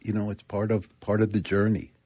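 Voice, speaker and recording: Very deep, husky man, soft-spoken. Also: completely flat delivery, dry recording. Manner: halting, soft-spoken, dry humor. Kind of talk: casual chat.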